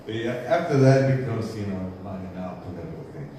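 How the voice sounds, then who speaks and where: A man's voice: low-pitched, lively intonation, quick pace, mellow. A man on a workshop panel, talking casually and rambling a little, with Spanish phrases slipping in. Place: large hall before an audience. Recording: echoey hall, mic far off, noisy.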